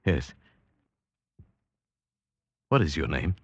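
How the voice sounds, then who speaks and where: An actor playing a proud, desperate traveller in an old-time radio drama, narrating and speaking with theatrical intensity. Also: male voice, rumbling low, sing-song, drawn-out words, breathy edge, no echo, muffled recording, full character voice. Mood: relieved, admiring. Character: sighted traveller trapped among blind villagers, proud, desperate. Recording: old radio recording with music behind.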